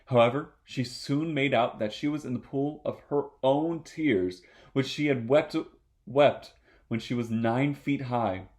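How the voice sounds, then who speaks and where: A man's voice: mid-range voice, unhurried, a little mellow. A man reading a children's story aloud expressively as a storyteller.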